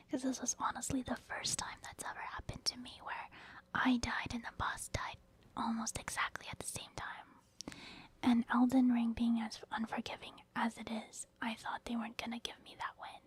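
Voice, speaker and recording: Woman, whispered ASMR, soft and breathy, slightly high voice, casual encouraging gaming commentary.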